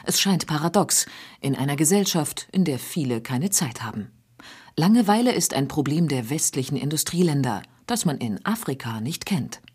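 A woman narrating a radio feature in a measured, precise scripted delivery. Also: low voice, animated, a little mellow, slightly rough.

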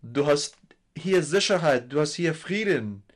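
Young Afghan-accented man, halting speech. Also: a little high, expressive. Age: 20s. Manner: halting, repeats words. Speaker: interviewee. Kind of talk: personal interview testimony.

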